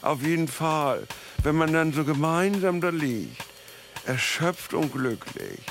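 An actor playing an earnest, gushing wellness devotee in a comedy mockumentary, searching for words in a drawn-out way. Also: male voice, on the high side, very animated, soft background music, exaggerated voice. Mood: earnest, blissful.

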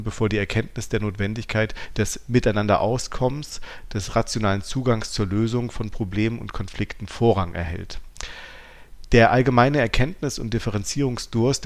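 A man with a fairly low voice speaks as a podcast co-host. He reads out listener messages and picks ideas apart in an analytical, questioning manner. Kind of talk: reading listener mail and discussing it.

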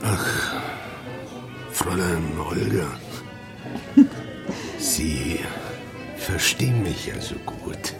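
An actor playing an amorous, self-pitying older boss in a German radio play, with sighing, murmured delivery. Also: male voice, deep voice, animated, extremely slow, vocal fry, pauses often, over music, full character voice. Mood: infatuated.

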